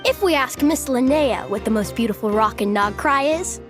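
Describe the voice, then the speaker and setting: A woman playing a lively, teasing young girl in an anime game, playful and pointed. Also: on the high side, normal speed, music in the background, lightly acted.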